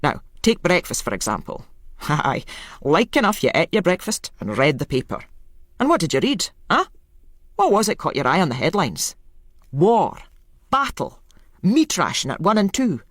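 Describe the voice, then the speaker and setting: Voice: mid-range voice, sing-song, moderate pace, fairly bright tone, no room sound, clean studio sound. A reader of unclear sex voicing a coarse, self-important male lay preacher, throaty and hectoring with accusing questions. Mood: fiery, accusing. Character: roaring Glasgow lay preacher, coarse, self-important man warning of doom.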